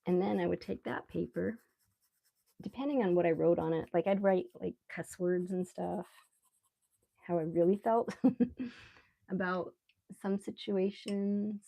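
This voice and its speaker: A woman with a relaxed voice chats casually on a live stream as she draws, rambling unhurriedly and thinking aloud. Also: fairly low pitch, measured pace, creaky, pauses often. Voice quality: relaxed, soft-edged voice. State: relaxed, mildly sedated after anesthetic. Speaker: live stream artist host. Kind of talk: casual live stream chat while drawing.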